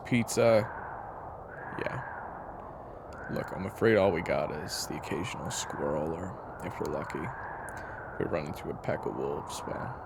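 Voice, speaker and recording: Man acting a gravelly, brooding, wry hunter. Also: low voice, very noisy, heavily acted. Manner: exasperated, wry, conversational, sighing. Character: brooding, grumpy but caring young male hunter telling stories. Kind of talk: intimate audio roleplay acting and storytelling.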